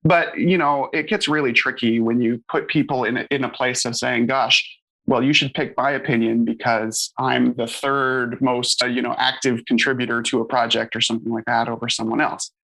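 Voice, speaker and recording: A man's voice: medium pitch, steady tone, moderate pace, vocal fry, pushed and bright, rough recording. A man on a conversational panel, explaining his association's work casually with frequent fillers. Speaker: open source association staff member.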